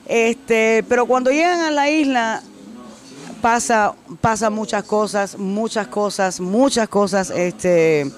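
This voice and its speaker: A middle-aged woman from Cuba, a singer answering interview questions in a casual way, animated and repeating phrases for emphasis, into a handheld mic in a restaurant. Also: fairly high pitch, takes it slowly. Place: decorated restaurant, indoors. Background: faint music.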